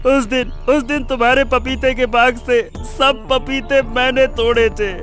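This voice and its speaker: A woman's voice: high voice, steady tone, unhurried, soft background music. A woman voicing a guilty male wrongdoer in a cartoon moral story, whining and repeatedly begging forgiveness. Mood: remorseful, pleading. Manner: whining, repetitive pleading.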